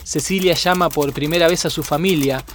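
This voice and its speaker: A man's voice: a little high, a little fast, a little hiss or hum. A man reading scripted narration for an audio documentary. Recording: music bed under voice. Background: music.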